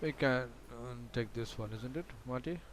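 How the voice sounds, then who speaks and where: A man's voice: mid-range voice, normal speed, a little hiss or hum. A man whose first language is not English, teaching a live online trading class over a video call, talking with many fillers and restarts.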